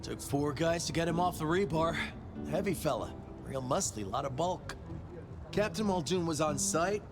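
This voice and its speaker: An actor playing an easygoing street cop, casual, clipped and matter-of-fact, in a video game. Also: male voice, on the high side, animated, moderate pace, music in the background. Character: easygoing male street cop briefing a detective. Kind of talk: video game dialogue acting.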